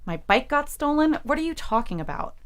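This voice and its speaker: Audio drama lead: troubled college girl, higher-pitched voice, defensive, stubbornly insistent. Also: sing-song, a little fast. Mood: exasperated. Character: defensive, troubled female college student haunted by dreams.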